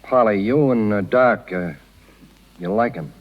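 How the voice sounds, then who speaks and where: An actor playing a loyal, wounded circus man with folksy, halting, ungrammatical speech in a 1930s radio drama. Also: lowish voice, natural voice. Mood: gentle, resigned. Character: plain-spoken circus man, loyal, wounded, protective of Polly. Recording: muffled 1930s radio broadcast with long reverb.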